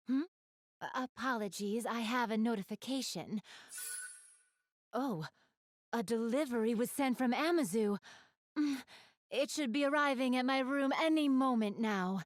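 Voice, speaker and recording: A woman's voice: mid-range voice, no room sound, studio quality, natural voice. A woman playing an elegant, polite young goddess in a video game, speaking to the listener in formal, ladylike phrases. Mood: amused storytelling, then politely eager. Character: elegant, refined young goddess with gamer enthusiasm. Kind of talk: video game character dialogue, addressing the listener.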